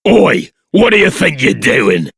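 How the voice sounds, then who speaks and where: A man playing a harsh, bad-tempered guard in a video game, commanding, with a raspy, gravelly voice. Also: on the high side, huge pitch swings, measured pace, no room sound, clean studio sound, exaggerated voice. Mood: angry. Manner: forceful, commanding.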